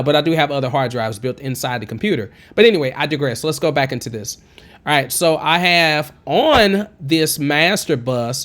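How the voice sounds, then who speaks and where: A man in his thirties talks through a home-studio tutorial in a high, twangy tenor that sits a little above most men's voices. He is chatty and encouraging and wanders off on side notes. He is close on a condenser mic. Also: fairly bright tone. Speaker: music production tutor. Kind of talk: casual screen-recorded tutorial. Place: home studio with green screen. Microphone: studio condenser mic with pop filter, wearing headphones.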